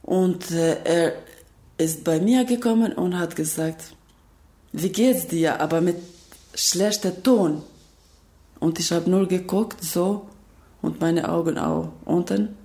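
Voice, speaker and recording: A woman in her fifties from Kosovo, a native Albanian speaker, recounting her past in slow, halting, broken German. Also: on the low side, warm tone. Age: 50s. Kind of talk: interview, personal recollection.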